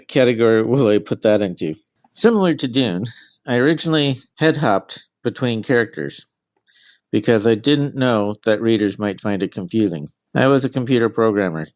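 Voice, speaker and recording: Lowish-voiced middle-aged man, very dry sound. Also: darkish tone, no room sound. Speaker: author being interviewed. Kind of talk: interview answers.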